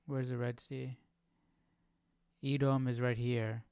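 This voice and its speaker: A man's voice: medium pitch, little pitch movement, slow pace, darkish tone, clean tone, no room sound, clean studio sound. A man hosting an informal Bible study livestream, chatting casually and thinking aloud as he goes.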